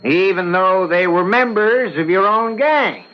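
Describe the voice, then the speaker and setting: A man's voice: sits high, animated. A man acting a methodical courtroom attorney, formal and persistent in questioning, in an old-time radio drama. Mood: pointed, skeptical. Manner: formal, deliberate, probing. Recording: dull lo-fi vintage radio recording.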